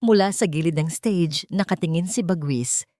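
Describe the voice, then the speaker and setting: Woman, story narrator, calm and steady, earnest, fairly low voice, dry close sound. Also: normal speed, no room sound. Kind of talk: scripted first-person storytelling.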